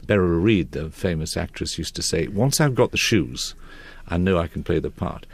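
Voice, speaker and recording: A man's voice: sits low, lively intonation, moderate pace, breathy edge, dry recording. An older British man presenting and interviewing in a radio magazine style. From north of England.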